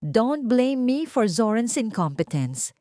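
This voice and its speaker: A sharp-tongued mistress, acted by a woman narrator, hurls blame indignantly in a melodrama. Her pitch leaps high and swings widely, recorded close and dry. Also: big pitch swings, slow pace, no room sound. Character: sharp-tongued, resentful mistress, defiant and blaming. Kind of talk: dramatic audio drama dialogue.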